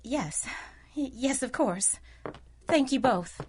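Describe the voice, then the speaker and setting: Casting: high-pitched, worried ingénue client, gushing, flustered, melodramatic 1930s radio heroine in need of rescue. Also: female voice, animated, slow, darkish tone, a little hiss or hum. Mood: anxious, earnest. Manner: breathlessly earnest, flustered, polite. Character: helpless, fretful, gushing damsel in distress. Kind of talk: melodramatic 1930s-style radio drama acting.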